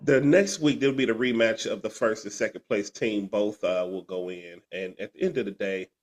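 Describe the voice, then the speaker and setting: Sick podcast co-host, congested, lowish voice, rambling recap. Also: male voice, normal speed. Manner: rambling, restarts and "uh" fillers. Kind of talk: fantasy football recap chat.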